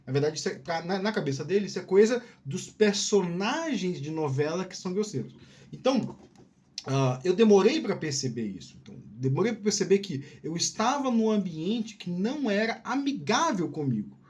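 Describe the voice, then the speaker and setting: Man, high-pitched voice, casual advice chat, informal, digressive, repeats words. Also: very animated, moderate pace, darkish tone. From Piauí, Brazil. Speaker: video host.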